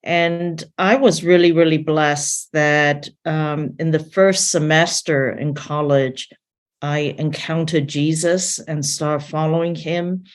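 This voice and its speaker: A woman from Taiwan who grew up in several countries, a missions leader sharing her faith story earnestly and haltingly as an interview guest. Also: low voice, fairly even intonation. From born in Taiwan, grew up in several countries. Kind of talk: personal testimony in an interview.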